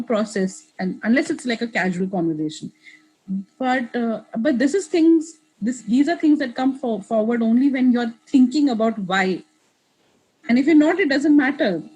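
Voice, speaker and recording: Husky-voiced woman in her thirties. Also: high voice, darkish tone, low-quality recording. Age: 30s. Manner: reflective, talks in restarts and asides. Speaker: interview guest, author. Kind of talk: casual interview conversation.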